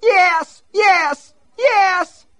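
A frightened, timid wife pleads in a raised, shrill voice that leaps and cracks, as a man reading an audiobook puts her on. Her delivery swings widely in pitch, all fear and no fight. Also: wildly swooping pitch, slow pace, bright, forceful tone, husky, muffled recording, heavily acted. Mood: terrified. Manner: pleading, shrill cries of pain. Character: frightened, timid middle-aged wife, woman. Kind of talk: audiobook narration.

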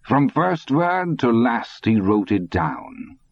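A man voicing a sly, cold old man, speaking slowly with silky, gloating mockery, in a dull lo-fi audiobook recording. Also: mid-range voice, big pitch swings, vocal fry, no echo, pitched above the performer's own voice, heavily acted. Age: older.

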